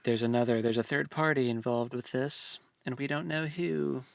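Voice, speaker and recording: A man's voice: medium pitch, fairly even intonation, moderate pace, gravelly, no room sound. A man co-hosting a book discussion, talking informally and slipping into paraphrased lines as he explains. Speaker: book discussion podcast co-host. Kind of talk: casual book chat.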